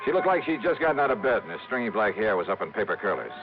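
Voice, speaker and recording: A curt, hardboiled investigator speaks quickly and dryly in a fairly low voice. It is 1950s radio detective drama on a muffled old recording. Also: male voice, sing-song, over music. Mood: dry, narrating. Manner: clipped, dry, businesslike. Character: hardboiled, curt male freelance insurance investigator narrating his case.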